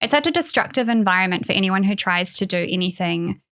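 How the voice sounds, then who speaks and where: Kiwi woman guest on a podcast, earnest, says like a lot, middle pitch. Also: expressive, fast, fairly bright tone. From New Zealand. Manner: earnest, laughs often, frequent "like". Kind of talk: conversational interview.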